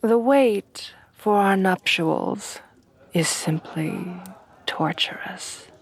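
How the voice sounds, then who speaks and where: A woman voicing a refined, devoted headless horsewoman bride in a soft, breathy, courtly ASMR roleplay, often dropping to whispers. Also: mid-range voice, extremely slow. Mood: tender, flirtatious. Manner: refined, formal, courtly, tenderly doting.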